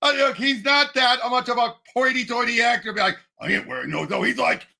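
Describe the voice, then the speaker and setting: A man with a high, creaky voice chats casually as co-host of a film show. He sounds bright and sharp, and states his opinions with emphasis. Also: a little fast. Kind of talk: casual chat.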